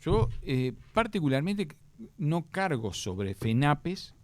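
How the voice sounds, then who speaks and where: A man's voice: fairly high pitch, big pitch swings, takes it slowly. A middle-aged man with a Uruguayan accent, a guest on a radio talk show, explaining education policy earnestly with frequent restarts. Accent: Uruguayan Spanish. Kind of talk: radio interview answers.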